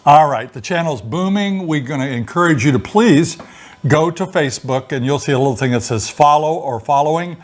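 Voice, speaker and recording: An older man in a relaxed, folksy co-host chat, his voice sitting a little high for a man. He rambles and explains at an easy pace, with plenty of "you know" along the way. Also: fairly quick. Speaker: co-host of a retiree channel. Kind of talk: casual chat.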